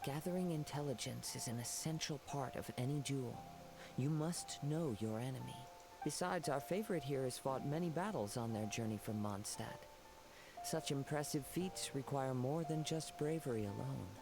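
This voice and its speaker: A young wandering samurai acted by a man speaks with a creaky edge over clear background music. He is calm, poetic and courteous, with measured, formal game dialogue. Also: mid-range voice, natural voice. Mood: musing, composed. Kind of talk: video game voice acting.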